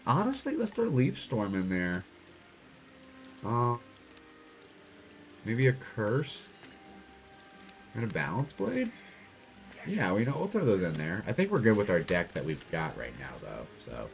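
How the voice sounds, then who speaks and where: A soft-spoken young man casually commenting on his gameplay as host. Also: on the low side, wildly swooping pitch, a little slow, vocal fry, pauses often, soft background music. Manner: quiet, soft-spoken, laid-back. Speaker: gaming channel host.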